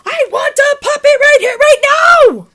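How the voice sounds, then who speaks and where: A pushy little cartoon pigeon voiced by a woman whines and begs in a high, loud squeal. His pitch swings wildly, jumping up whenever he gets worked up. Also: wildly swooping pitch, normal speed, exaggerated voice. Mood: demanding. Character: pushy, whiny, excitable male cartoon pigeon.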